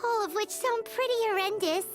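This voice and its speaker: A woman voicing a small, cute, curious fairy companion in a video game, with a squeaky childlike voice and expressive delivery. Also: normal speed, soft background music. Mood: thoughtful, concerned. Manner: refers to self in third person, exclaiming, expressive. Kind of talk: video game character dialogue.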